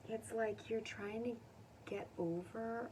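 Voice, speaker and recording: A woman giving a casual tarot reading to viewers, speaking gently and consolingly in an informal way. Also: a little high, steady tone, takes it slowly. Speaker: tarot reader. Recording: distant mic, faint background noise.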